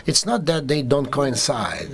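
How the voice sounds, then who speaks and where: Halting Russian-accented man, many fillers. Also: medium pitch, animated, a bit bright. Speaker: panelist. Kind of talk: conference panel discussion.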